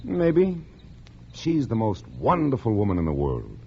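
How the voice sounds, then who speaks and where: Deep, mellow-voiced devoted lover. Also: male voice, wildly swooping pitch, measured pace, creaky, heavily acted. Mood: playful, tender. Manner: easygoing, teasing, informal. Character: playful, devoted male lover, a piano player. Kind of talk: mid-century radio drama acting. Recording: dull, noisy old radio recording.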